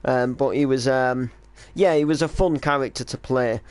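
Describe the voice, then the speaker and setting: A man's voice: medium pitch, normal speed, a little mellow, smooth, no room sound. A man reminiscing casually on a stream as the creator of an online drama, explaining storylines in an informal, rambling way.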